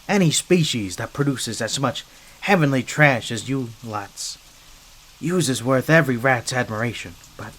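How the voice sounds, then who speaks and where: A man's voice: medium pitch, fairly bright tone, close to an everyday voice, poor audio. Man voicing a scrappy streetwise mobster rat. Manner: streetwise gangster slang, chatty, hesitant asides. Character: scrappy wisecracking mafia henchman rat, wounded and wary. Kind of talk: ASMR roleplay monologue.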